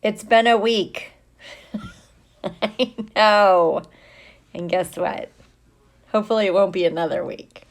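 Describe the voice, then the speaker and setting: Slow, chatty, playful woman teacher on livestream. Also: medium pitch. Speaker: teacher hosting a livestream for students. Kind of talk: casual livestream chat, greeting viewers by name.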